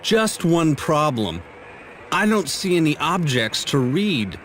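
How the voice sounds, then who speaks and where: A brash young fantasy game adventurer. His fairly high voice swings widely in pitch, impatient and wry, informal and excitable. He takes his time over the words. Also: takes it slowly, slight background noise, exaggerated voice. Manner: informal, sarcastic, animated. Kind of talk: video game voice acting. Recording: faint music behind the voice.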